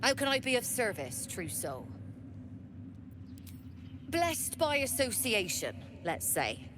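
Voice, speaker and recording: A woman voicing a smooth-talking female smuggler merchant with a silky, businesslike manner. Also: fairly high pitch, sing-song, takes it slowly, noisy background. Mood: sly.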